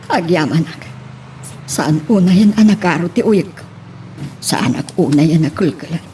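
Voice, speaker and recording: A woman playing a gentle, resigned old mother in an Ilocano audio drama, with an Ilocano accent and tender, sighing delivery. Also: on the low side, normal speed, recorded from a distance, lightly acted. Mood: weary, affectionate. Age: older. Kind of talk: Ilocano radio-style drama acting.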